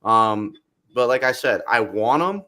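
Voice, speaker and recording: A young man with a loud voice, talking casually as a podcast guest, animated and quick in bursts. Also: mid-range voice. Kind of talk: casual chat.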